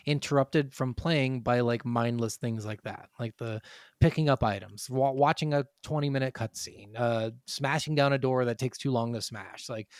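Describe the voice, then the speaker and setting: A man's voice: mid-range voice, normal speed, a little mellow, dry recording, clean studio sound. A man co-hosting a casual gaming podcast, ranting in an exasperated, opinionated way with plenty of swearing. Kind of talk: casual opinionated game discussion.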